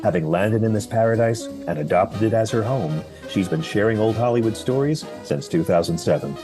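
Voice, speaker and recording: A man's voice: on the low side, animated, moderate pace. A man reading a scripted promotional introduction as an announcer. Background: guitar music.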